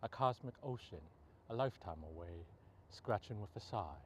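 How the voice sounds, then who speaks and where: A man reading poetry aloud as a poet, in measured, deliberate short phrases. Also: on the low side, expressive, slight background noise. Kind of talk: poetry reading, recited free verse.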